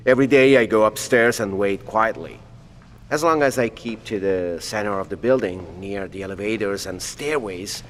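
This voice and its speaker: A man acts out a wary, nervous scientist for an audio drama. His voice sits fairly low, and he picks formal, precise words with some hesitation. Long reverb rings around him like an empty hallway. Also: a little hiss or hum, close to an everyday voice. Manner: hesitant, precise, educated phrasing. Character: nervous blind male scientist, formal and wary, stranded alone.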